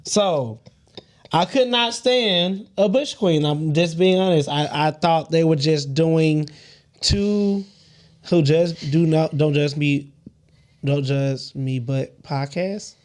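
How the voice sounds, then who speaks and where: A man with a light voice, co-hosting a podcast and telling stories in a sassy, animated way, laughing often and swearing freely. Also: a little high, slow pace. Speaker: podcast co-host. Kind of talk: casual group chat, storytelling.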